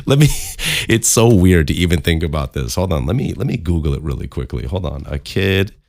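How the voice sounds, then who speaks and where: A man's voice: low-pitched, expressive, brisk, gravelly, a little mellow, dry recording. A man hosting a solo podcast in a casual, rambling, informal way, close to the microphone. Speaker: podcast host.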